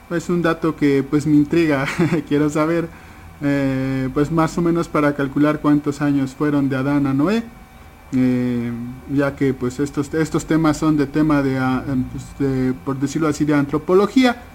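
A man speaking in an informal Bible discussion, hesitant and full of fillers and restarts. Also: fairly high pitch. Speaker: participant in an online Bible study. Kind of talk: casual informal testimony.

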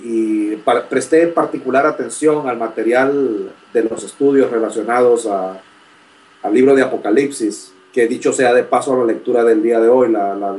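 A young man speaks politely and formally, pausing often with fillers. His voice sits mid-pitch and sounds a little distant from the mic. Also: darkish tone. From Honduras. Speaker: graduate student, first-time participant. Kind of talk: asking a question in an online chat.

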